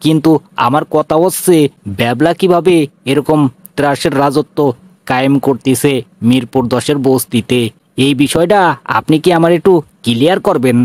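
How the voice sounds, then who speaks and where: A man's voice: on the high side, moderate pace, darkish tone, dry recording, lightly acted. A man voicing a boastful, comically tough cartoon police inspector, emphatic, with a rural Bangladeshi accent. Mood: pondering. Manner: swaggering, emphatic.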